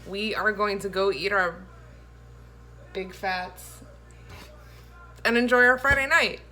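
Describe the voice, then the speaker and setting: A woman's voice: mid-range voice, slow, pushed and bright. A woman in her thirties chatting to the camera in a casual, playful, giggly way, recorded on a phone in a home kitchen. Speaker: vlogger, host. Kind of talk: casual chat to camera, storytelling. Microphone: phone at arm's length. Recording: faint background noise, home phone recording.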